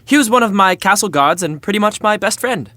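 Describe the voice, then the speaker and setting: A man's voice: high voice, expressive, brisk, bright, forceful tone. A man playing a cocky, flippant young prince in a romantic comedy audio drama, talking casually and stumbling when put on the spot. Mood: friendly, casual. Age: young adult.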